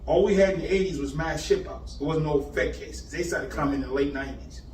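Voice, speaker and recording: A man in his fifties from Boston, telling his life story in animated street slang, rattling off places. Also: fairly high pitch, a little fast. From Boston, Massachusetts. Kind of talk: casual storytelling interview. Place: indoor room on location. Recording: echoey room, far mic, faint background hiss.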